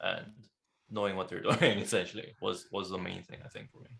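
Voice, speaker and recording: A man's voice: deep voice, little pitch movement, quick pace, creaky, fairly bright tone. A young man born in Korea, a guest in a casual podcast interview, who laughs often and restarts his sentences.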